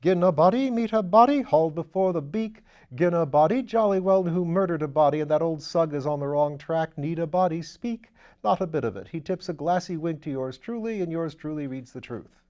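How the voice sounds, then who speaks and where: A man voicing a breezy, whimsical aristocratic gentleman sleuth in an audiobook, chatty, playful and theatrical. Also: fairly high pitch, a little fast, highs cut off, voice pushed up, close to an everyday voice. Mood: exuberant. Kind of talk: audiobook character voice.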